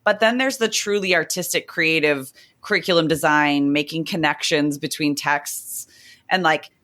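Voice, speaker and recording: A woman co-hosting a teaching podcast, chatty and rambling, her voice slightly hoarse from the end of the school year. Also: deep voice, moderate pace. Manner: chatty, animated, rambling with fillers. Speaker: podcast co-host. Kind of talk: casual chat.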